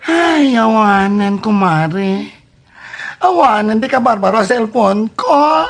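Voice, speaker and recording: A woman playing a distressed, whiny friend in an Ilocano-accented radio comedy drama, lamenting in a drawn-out way. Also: fairly high pitch, lively intonation, vocal fry, exaggerated voice.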